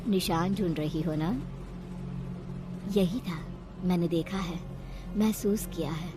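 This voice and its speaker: A woman's voice: lowish voice, takes it slowly, over music. A woman voicing a mysterious grieving ghost woman, brooding and mournful, in a horror story. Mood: mournful, eerie. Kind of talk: animated horror story character acting.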